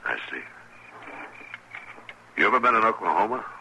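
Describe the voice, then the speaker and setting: An American actor playing a stern, steady middle-aged frontier marshal in an old-time radio Western, speaking tersely and with grave authority. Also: male voice, lowish voice, normal speed, gravelly, exaggerated voice. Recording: noisy old radio drama recording.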